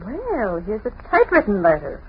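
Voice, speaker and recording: An actress playing a sassy, wisecracking young secretary in 1930s radio drama style. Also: female voice, medium pitch, wildly swooping pitch, normal speed, a crowd in the background. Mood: sassy, grumbling. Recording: dull, faintly noisy old radio recording.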